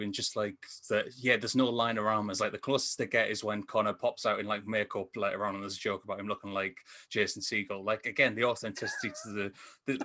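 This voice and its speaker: A man's voice: lowish voice, sharp tone. A man co-hosting a film podcast over a video call, rambling enthusiastically with a stop-start delivery in casual discussion. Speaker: podcast co-host and film reviewer. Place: indoor room with posters.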